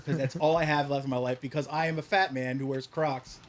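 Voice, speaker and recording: A man's voice: mid-range voice, steady tone, brisk, slight background noise. A man in his mid forties hosting a podcast, joking crudely and mocking himself. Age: 40s. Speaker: podcast host. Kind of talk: casual chat.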